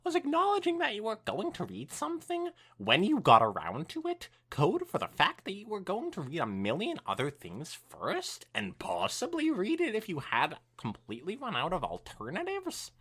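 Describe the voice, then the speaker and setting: A woman co-hosting a podcast, reading fan fiction aloud in an expressive, swooping storytelling style with casual asides. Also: medium pitch, normal speed, hardly any pauses, husky.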